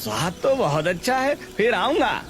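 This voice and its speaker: Man as street customer, high-pitched, inquisitive, pleased, cartoon, music behind. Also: sing-song, normal speed, a bit bright. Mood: curious, pleased. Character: curious, easily pleased male street-stall customer. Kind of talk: Hindi cartoon story acting.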